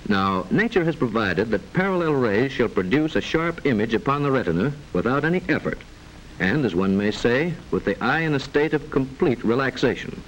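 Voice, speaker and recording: A man narrating a mid-century educational film in a formal, measured, didactic way with precise enunciation, on an old film soundtrack. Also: medium pitch, sing-song, a little hiss or hum, low-quality recording.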